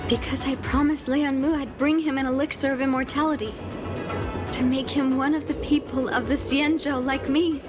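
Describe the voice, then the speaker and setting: A woman's voice: high-pitched, steady tone, moderate pace, lightly acted. A woman playing an ailing, lovelorn fugitive in a video game, earnest and sorrowful, pleading as she speaks. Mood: weary, remorseful. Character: anguished long-lived woman, ailing fugitive in love. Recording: loud music behind the voice. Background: game music.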